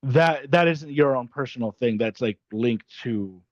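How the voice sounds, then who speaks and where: A man's voice: medium pitch, a little slow, no echo. A man hosting a casual interview, asking informal, loosely phrased questions. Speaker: podcast host. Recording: dry, slightly dull lo-fi sound.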